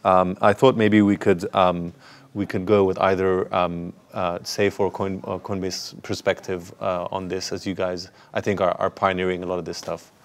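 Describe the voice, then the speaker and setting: A man moderating a conference panel, speaking haltingly with many fillers and restarts. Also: sits low, level tone. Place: conference stage.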